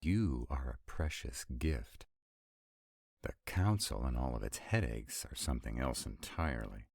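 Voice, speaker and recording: A man's voice: expressive, measured pace, creaky, darkish tone, dry recording, exaggerated voice. A man playing a sarcastic, grumbling yet affectionate vampire in a romantic roleplay audio, with exasperated, intimate delivery. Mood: tender teasing. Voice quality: very deep voice. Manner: sardonic, exasperated, intimate, swooping emphasis.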